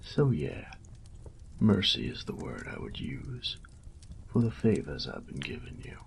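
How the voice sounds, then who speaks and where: A man playing a cocky, sadistic bandit captor in a roleplay audio drama, taunting the listener with a breathy, gravelly drawl and folksy swagger. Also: extremely low pitch, sing-song, a little slow, whispered, exaggerated voice. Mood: smug, threatening.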